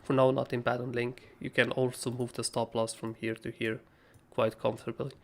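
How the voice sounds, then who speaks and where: A young man with a hoarse, raspy voice, casually talking through trading charts as a livestream host, rambling and swearing freely. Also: medium pitch, level tone, normal speed, darkish tone. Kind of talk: casual live chart analysis.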